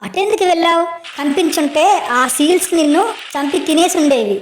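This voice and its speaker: A brave young penguin heroine, acted by a woman in a children's animated story. The voice is high and roomy, with a kind, reassuring manner that takes charge and gives clear instructions. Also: fairly quick, a little hiss or hum, close to an everyday voice. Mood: confident, planning.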